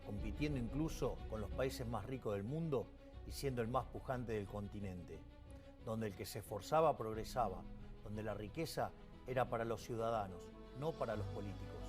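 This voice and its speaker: A man's voice: fairly low pitch, normal speed. A man reading a scripted political campaign message. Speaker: campaign ad speaker. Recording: loud music under the voice.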